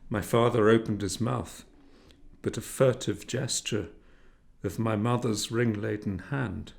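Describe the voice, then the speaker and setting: A man narrating a literary novel as an audiobook, in a measured, expressive, dramatic reading manner. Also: fairly low pitch, creaky.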